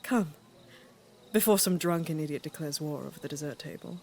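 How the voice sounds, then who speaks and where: A woman playing an aging, regal queen and mother in a fantasy audio drama, speaking in a measured, deliberate and wry way. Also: deep voice, creaky, darkish tone. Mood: dry resolve. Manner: measured, deliberate, dryly teasing.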